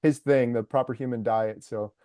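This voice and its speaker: A man's voice: medium pitch, fairly even intonation, moderate pace, dark tone, no echo, studio quality. A man who makes documentaries, talking casually and eagerly in an interview and filling his speech with "like" and "uh". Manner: enthusiastic storyteller, lots of fillers like "like" and "uh". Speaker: documentary maker and YouTuber.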